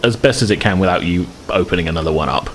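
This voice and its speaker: A man with a very low voice acts as game master for a tabletop game. He explains the scene in a hesitant, rambling flow, making it up as he goes. There is some faint noise behind him. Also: quick pace. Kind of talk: improvised narration of a tabletop game.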